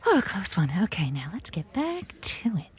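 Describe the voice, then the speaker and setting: A woman's voice: medium pitch, wildly swooping pitch, moderate pace, slightly rough, exaggerated voice. A woman playing a flustered, coy library worker in an audio comedy, speaking in hesitant half-whispers. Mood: furtive. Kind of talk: scripted audio comedy acting.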